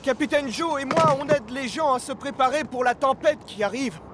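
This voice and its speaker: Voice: high-pitched, moderate pace, over loud music, lightly acted. Voix jouant Skiff, petit bateau anxieux. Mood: earnest. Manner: frequent worried gasps and cries. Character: small anxious but helpful male sailing boat. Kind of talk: French cartoon dub.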